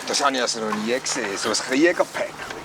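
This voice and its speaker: A man voices a wary traveler who speaks from some distance from the mic, cautious and muttering. He has a Swiss German accent, and loud outdoor and traffic noise surrounds him in the radio play. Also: medium pitch, fast talker, lightly acted.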